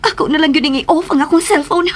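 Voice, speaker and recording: A woman's voice: sits high, steady tone, brisk, loud music behind the voice, natural voice. An actress playing a feisty, easily annoyed young woman accountant in a romantic radio drama. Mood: irritated.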